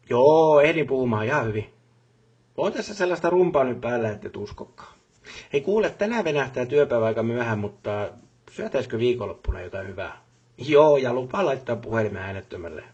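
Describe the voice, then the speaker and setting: A busy factory boss for a video game. His voice is husky and mid-pitched, and his manner is brisk and businesslike, with colloquial turns and short repeated affirmations. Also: darkish tone, lo-fi sound. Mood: affectionate. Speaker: voice actor for a game character. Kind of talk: game voice lines, phone calls and workplace speeches.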